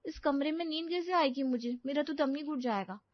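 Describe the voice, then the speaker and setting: A spoilt young woman in a storybook drama , acted by a woman, speaking in a high, fussy, gushing voice. She sounds entitled and demanding, recorded dry and a little dull. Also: a little fast, no echo, lightly acted. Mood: fussy, indignant. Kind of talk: Hindi audio story acting.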